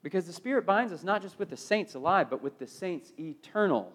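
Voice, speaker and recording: A middle-aged American man preaching a sermon, emphatic and given to repeated phrases, moving between loud proclamation and hushed delivery. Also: sits high, expressive, a little fast.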